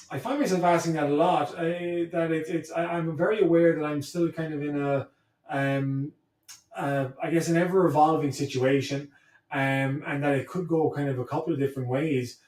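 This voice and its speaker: A man's voice: on the high side, a little mellow. Man, echoey and off-mic. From Ireland (Cork). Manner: thoughtful, halting, searching for words. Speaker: guest, history professor. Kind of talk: reflective conversational interview. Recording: echoey room, distant from mic.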